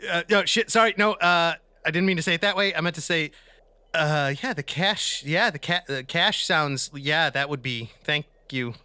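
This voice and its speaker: A man playing an anxious, soft-hearted cyberpunk squad member in a tabletop game, stammering and apologetic as he speaks. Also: fairly high pitch, normal speed, clear, resonant tone, no room sound. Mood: flustered. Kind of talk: improvised tabletop role-play.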